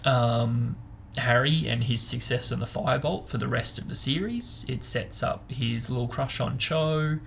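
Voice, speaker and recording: A man's voice: medium pitch, measured pace, gravelly, a little hiss or hum. A man chatting casually as host of a book discussion, talking in an informal, meandering way as he explains and speculates.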